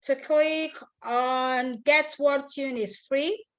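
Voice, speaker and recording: A Nigerian woman with a somewhat high voice leads a live online tutorial over a computer mic. She talks through each step in turn, repeats key points and breaks off to ask attendees questions. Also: steady tone, very slow, fairly bright tone. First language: Igbo. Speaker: online class instructor. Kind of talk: live screen-share tutorial.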